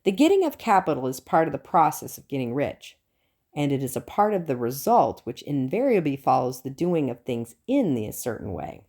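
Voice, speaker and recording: Low-voiced, expressive woman reading an audiobook. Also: moderate pace. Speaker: audiobook reader. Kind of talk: scripted audiobook narration of a self-help book.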